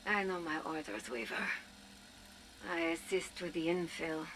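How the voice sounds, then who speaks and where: An actress playing a determined young woman with powers, speaking softly and often half-whispered. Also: on the low side, fairly even intonation, normal speed, gravelly, slight background noise, poor audio.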